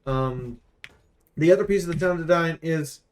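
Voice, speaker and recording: A male YouTube host with a somewhat high-pitched voice talks through a game preview. He is casual and opinionated, stressing his points emphatically as he rambles and swears. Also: moderate pace, a little mellow.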